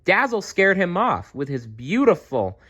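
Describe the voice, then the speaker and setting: Man putting on an excitable high-pitched little girl dinosaur. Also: breathy edge, exaggerated voice. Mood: proud, amazed. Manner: exclaiming, drawn-out emphasis. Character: young female dinosaur, eager, excitable, caring.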